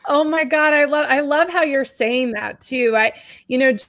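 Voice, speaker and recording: A woman hosting a live online show, bubbly and effusive, her voice rising when she gets excited. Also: sits high, normal speed, dry recording, dull audio. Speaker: host.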